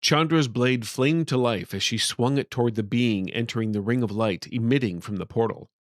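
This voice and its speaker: Male storyteller for scripted fantasy narration, medium pitch, very little room sound. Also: normal speed, no echo, studio quality.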